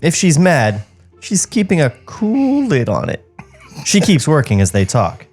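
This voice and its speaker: A man's voice: on the high side, huge pitch swings, measured pace, a little mellow, husky, soft background music. A man narrating stage directions from a script at a podcast table read. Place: podcast studio.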